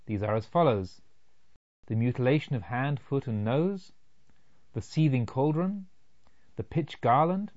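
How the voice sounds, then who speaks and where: A man narrating a literary audiobook in a measured, formal, expository way. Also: medium pitch, lively intonation, darkish tone, lo-fi sound.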